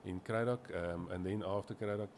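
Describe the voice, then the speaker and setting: A middle-aged South African man, a company finance chief, talking in a measured, conversational way, often repeating words as he builds his sentences. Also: sits low, fairly even intonation, darkish tone, a little hiss or hum. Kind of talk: business interview, conversational. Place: small office meeting room. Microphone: desk podcast mic on boom arm.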